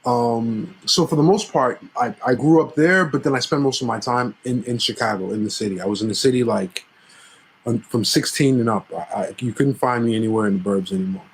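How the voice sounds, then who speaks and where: A man's voice: mid-range voice, brisk, husky, poor audio. A man from Cameroon, a filmmaker guest in a casual interview, speaking easily with hesitant fillers.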